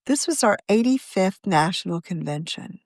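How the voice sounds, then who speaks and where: A woman reads her own feature article aloud, with a pitch that rises and falls freely through each sentence. Her delivery is measured and clear, and she sounds like a magazine editor narrating her piece. The close studio mic leaves the sound dry, with no room around it. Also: expressive, dry recording.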